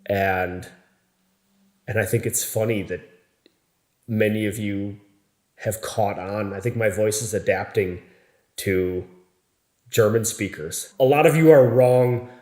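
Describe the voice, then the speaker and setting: An American man talking to camera in a casual vlog, speaking slowly and clearly. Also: on the low side, a little mellow. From United States. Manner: slow, deliberate, clearly spoken. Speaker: vlogger. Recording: echoey room.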